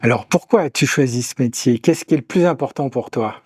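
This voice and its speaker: A man's voice: mid-range voice, a little fast, breathy edge, dry recording, clean studio sound. A man interviewing a chef, putting short, direct, informal questions.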